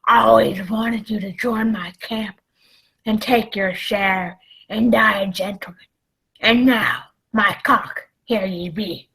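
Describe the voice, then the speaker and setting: A woman's voice: medium pitch, slow pace, vocal fry, fairly bright tone, poor audio. A woman in a radio play acting a wily, menacing old male pirate leader with blustering, old-fashioned seafaring talk. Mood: sly mock-friendly.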